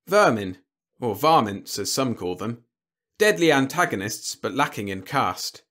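A man voicing a cool, sly diplomat in an audiobook, speaking in a measured, dryly formal way. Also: medium pitch, expressive, a bit bright. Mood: dryly courteous. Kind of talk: audiobook character dialogue.

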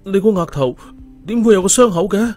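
A man's voice: high voice, animated, a little fast, darkish tone, soft background music, voice pushed up. A man voicing a timid, frightened female nurse who stammers in an audiobook story. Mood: shaken. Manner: stammering, shrieking. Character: terrified young female nurse, timid, caring.

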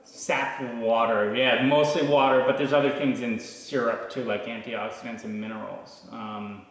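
A young man giving an informal farm tour, explaining as he goes with halting, repeated starts. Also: medium pitch, steady tone. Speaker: maple farmer giving a tour. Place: large farm sugarhouse and tasting room. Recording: echoey farm building, some background noise.

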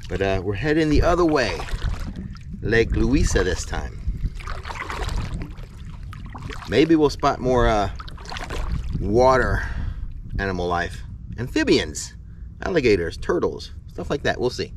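A man's voice: on the low side, sing-song, slow pace, pauses often, background noise. A man in his forties with an American accent, chatting upbeat to viewers as he kayaks and tours a riverside park, filming himself at arm's length outdoors. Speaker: travel vlogger. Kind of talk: casual travel vlog narration. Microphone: camera held at arm's length.

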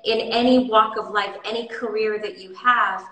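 Bright lady skincare expert chatting easy, lots of "you know", roomy echo. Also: medium pitch, normal speed. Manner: relaxed, explaining with many fillers. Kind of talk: casual demo chat.

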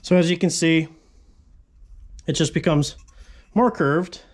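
A man's voice: a little high, slow. A man in his forties, a fabrication channel host, casually explaining a metal shop tool in his home garage, rambling with frequent asides. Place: cluttered home garage workshop with tarp walls.

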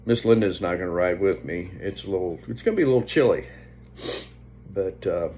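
A man in his fifties chatting casually to a phone at home, unhurried and meandering, often trailing off. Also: deep voice. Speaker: vlogger. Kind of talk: casual rambling vlog chat. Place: indoors, small room at home. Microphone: phone at arm's length. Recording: faint background hum on phone recording.

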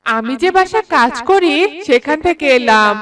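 A woman voicing a gentle, loving widowed village mother in a cartoon, with a soothing, homely manner. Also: high-pitched, animated, moderate pace. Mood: content. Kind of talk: cartoon voice acting.

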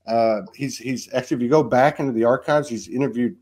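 A man's voice: medium pitch, fast talker, a little mellow. Rambling fifty-something guy hosting a chill video call chat, lots of "you know, man", loose and easygoing. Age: 50s. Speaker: host. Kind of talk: casual spiritual chat. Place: home room, indoors.

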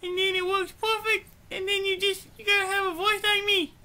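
Squeaky, shrill, blustering cartoon pig. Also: flat delivery, a little fast, bright, forceful tone, heavily acted. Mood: pushy, crude. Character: vain, pushy, crude pig claiming to be a ladies' man.

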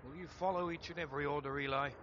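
A man's voice: a little high, steady tone, racing, a bit bright. A man playing a game, giving short spoken commands and questions to a computer. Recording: dull, noisy gameplay recording.

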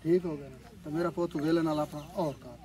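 A man's voice: a little high, fairly even intonation, fast, over a noisy crowd, natural voice. A man in his sixties with a rural Pakistani Punjabi accent playing a proud village father who is firm on his word. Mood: reassuring. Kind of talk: village comedy drama acting. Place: outdoors on a cot in village yard. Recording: noisy outdoor village yard, animals, vehicles.

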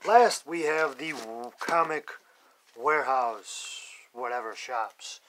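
A man's voice: medium pitch, background noise. A young man casually narrating a comic book unboxing, listing issues plainly and cursing bluntly when annoyed, recorded indoors on an overhead phone camera. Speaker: comic collector vlogger. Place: indoors at a table, filming from above.

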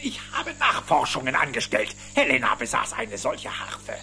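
A miserly old cartoon duck tycoon, crotchety and blustering. His pitch leaps all over the place, with music clearly behind him. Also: moderate pace, heavily acted. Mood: proud. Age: older. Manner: blustering, excitable. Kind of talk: cartoon radio play acting.

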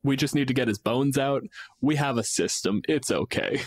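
Guy chatting on a podcast, medium pitch, loose and sweary, really dry recording. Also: normal speed, no room sound. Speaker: podcast co-host. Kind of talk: casual chat about tabletop game monsters.

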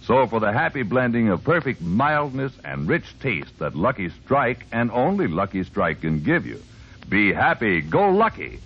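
Husky american guy doing a 1950s radio ad, lively pitch swings, dull old recording. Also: moderate pace. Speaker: radio commercial announcer.

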